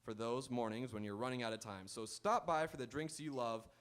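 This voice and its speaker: A man's voice: medium pitch, fairly quick, a bit bright, clean tone. A man hosting a live game show on stage, bantering playfully and reading announcements to the audience. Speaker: quiz show host. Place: auditorium stage.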